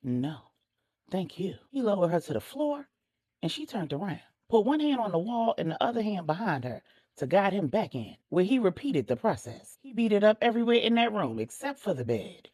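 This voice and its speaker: A woman's voice: medium pitch, sing-song, a little fast, a little mellow, slightly rough, no room sound. A woman narrating a story expressively as a storyteller, with a conversational touch.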